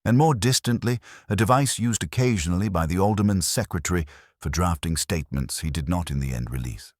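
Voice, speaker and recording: A male audiobook narrator with a very deep, husky voice. He reads literary mystery fiction in a measured, wry way, with lively rises and falls in pitch. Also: no room sound, clean studio sound.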